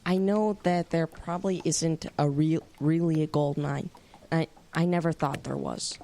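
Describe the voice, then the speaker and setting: A woman's voice: low-pitched, fairly even intonation, a little slow, creaky, warm tone, slight background noise. A young female actor playing a stubborn, earnest kid adventurer in an audio drama.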